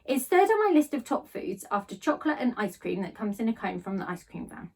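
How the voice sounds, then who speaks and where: A woman reading a children's story aloud in an expressive, animated storytelling style. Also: medium pitch, a little fast, recorded from a distance. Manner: animated read-aloud delivery. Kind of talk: expressive storybook narration in first person.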